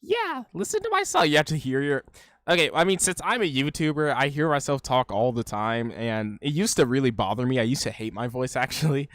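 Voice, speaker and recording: A man's voice: mid-range voice, very animated, fairly quick, fairly bright tone, no room sound, clean studio sound. A man hosting a YouTube reaction video, talking casually and rambling with lots of "like" and "you know".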